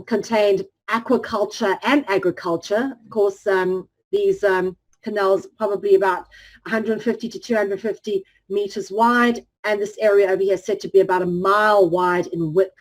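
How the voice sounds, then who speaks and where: A woman presenting a lecture over slides, explaining earnestly and often pausing on "um". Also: medium pitch, low-quality recording. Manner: earnest, explanatory, frequent "um" fillers.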